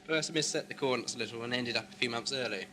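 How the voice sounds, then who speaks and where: A man's voice: mid-range voice, rapid-fire, bright, forceful tone, a little hiss or hum, lightly acted. A man with a British accent acting an eccentric, flippant space-travelling scientist in an amateur audio drama. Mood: breezy, wistful. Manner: flippant, breezy, teasing. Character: whimsical, eccentric male scientist who travels space and time. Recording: music under dialogue, home-made cassette drama.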